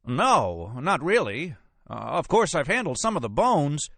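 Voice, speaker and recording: Indignant, faltering medical examiner for audiobook, male narrator acting, pitched far above his natural voice. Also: sing-song, measured pace, vocal fry, fairly bright tone, dry recording, heavily acted. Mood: cowed, faltering. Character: indignant gray-haired medical examiner, easily cowed.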